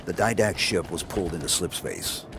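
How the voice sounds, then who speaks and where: A man's voice: low voice, animated, moderate pace, gravelly, noisy background. A man playing a stoic, terse super-soldier squad leader in a video game cinematic, speaking with command. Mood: stoic resolve. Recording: loud music behind the voice.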